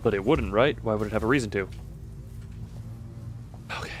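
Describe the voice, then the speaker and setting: A man's voice: lowish voice, moderate pace, over music. A man streaming a video game, commenting live in a wry, rambling way and often repeating his own phrases. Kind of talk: live gameplay commentary, talking to himself and chat.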